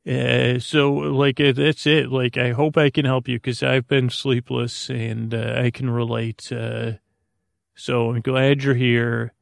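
A man hosting a podcast with a rambling bedtime monologue, halting and digressive, goofy and self-deprecating as he talks listeners toward sleep. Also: medium pitch, little pitch movement, creaky, no echo, studio quality. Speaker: podcast host. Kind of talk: rambling, meandering bedtime monologue to help listeners fall asleep.

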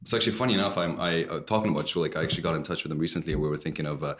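A man's voice: a bass voice, fairly even intonation, racing, fairly bright tone. A man in his thirties from Canada, a startup CEO answering interview questions, with many fillers and restarts.

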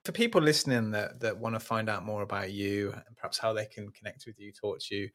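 A man with a lowish voice hosting a podcast chat. He asks his questions in an easy, encouraging way with plenty of fillers. Faint music plays behind him. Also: fairly quick. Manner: encouraging interviewer, many fillers like "you know". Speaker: podcast host. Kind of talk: casual interview chat.

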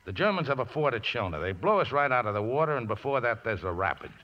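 An actor playing a rough, easygoing riverboat mechanic in a 1950s radio drama, deferential and folksy, with ungrammatical speech. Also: male voice, medium pitch, big pitch swings, fast, close to an everyday voice. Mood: worried, explaining. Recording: dull, noisy vintage radio broadcast.

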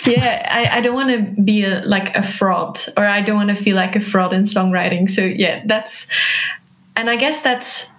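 A young woman from Belgium speaks English as a second language, her first being Dutch. She talks softly and hesitantly in a casual interview, often breaking into laughter. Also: mid-range voice, normal speed, poor audio. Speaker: musician guest.

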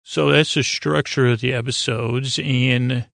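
Male podcast host, creaky and gravelly, mid-pitched, stammering through meandering bedtime rambles, recorded close and dry. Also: fairly even intonation, measured pace, fairly bright tone, no echo. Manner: meandering, stammering, keeps derailing himself.

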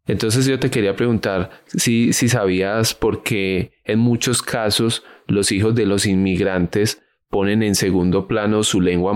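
Low-voiced man hosting a conversation. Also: clean tone. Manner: curious, questioning, explanatory. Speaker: podcast host. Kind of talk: casual interview conversation.

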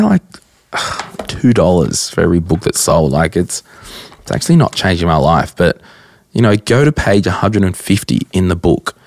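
A young Australian man with a croaky voice hosting a casual solo podcast at his desk, answering listener questions bluntly and chattily. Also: sits low, a little mellow, no echo, clean studio sound. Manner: chatty, blunt, rambling with fillers. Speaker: podcast host. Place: at a desk, indoors.

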